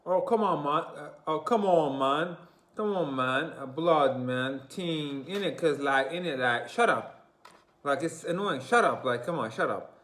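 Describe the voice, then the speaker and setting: Brash London-slang guy with a British accent, loud, high and sneering, as a man mocks him. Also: a little slow. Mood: mocking. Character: mocking caricature of a brash male London slang talker.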